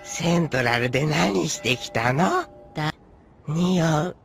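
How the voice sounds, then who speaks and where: A gluttonous anime creature with a childish, simple-minded manner. The voice is creaky and swings widely in pitch. Music plays behind it. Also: male voice, animated, moderate pace, fairly bright tone. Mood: hungry, gleeful. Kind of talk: Japanese anime acting.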